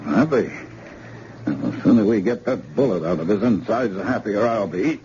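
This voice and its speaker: A brisk, self-assured surgeon, as an actor plays him fires off orders with a wry edge. His lowish voice swings lively in pitch. The sound is muffled old radio with clear hiss. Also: close to an everyday voice. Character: brisk, cocky male hospital doctor. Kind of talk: 1950s radio drama acting.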